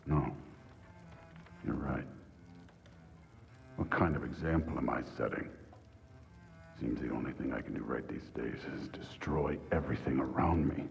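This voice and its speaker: An actor playing a huge, weary thunder god and remorseful father who is gruff and self-deprecating. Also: very deep voice, measured pace, long pauses, darkish tone, long reverb tail, lo-fi sound, faint music behind, full character voice.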